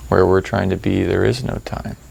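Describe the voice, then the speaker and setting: A man leading a guided meditation in a calm, unhurried, conversational way, with long pauses and plain, informal wording. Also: sits low, creaky, a little hiss or hum.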